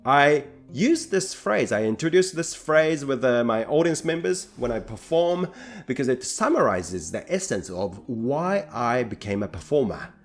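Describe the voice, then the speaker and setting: A man's voice: mid-range voice, big pitch swings, measured pace, soft background music. A man from Japan with a Japanese accent who hosts a solo podcast as a rakugo performer, talking in an earnest, reflective way with a light self-deprecating humour.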